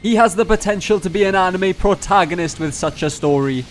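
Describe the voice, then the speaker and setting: Higher-voiced man, mock-grandiose gaming narrator. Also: a little fast, a little hiss or hum. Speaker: gaming video creator narrating a challenge run. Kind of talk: scripted gameplay commentary with jokey asides.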